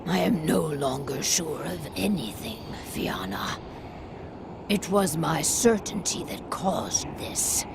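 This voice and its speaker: Slow gravelly solemn pack leader. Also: female voice, low-pitched, lively intonation, noisy background, exaggerated voice. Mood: remorseful, weary. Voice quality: breathy, whispery, gravelly. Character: grave remorseful female werewolf pack leader, weary but proud. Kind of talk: video game cutscene acting. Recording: music under the voice.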